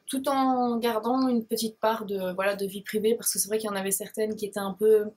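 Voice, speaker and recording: Woman, twenties, Belgian French accent, husky-soft voice, shy, hesitant, casual vlog talk. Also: medium pitch, fairly even intonation, brisk. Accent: Belgian French, Liège province. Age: 20s. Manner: hesitant and shy, many fillers and restarts. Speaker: beauty blogger and vlogger. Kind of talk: casual talk to camera, answering viewer questions.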